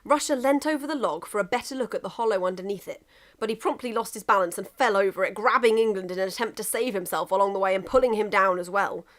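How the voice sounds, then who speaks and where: Woman narrating a story at medium pitch. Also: fast. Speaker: narrator, fan fiction reader. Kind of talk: expressive scripted story narration.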